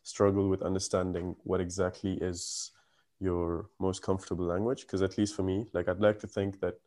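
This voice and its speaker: Man, from the Gulf, podcast guest, low voice, thoughtful, hesitant, casual chat. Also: level tone, a little mellow. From Gulf region. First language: not English. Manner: thoughtful, measured, hesitant with ums.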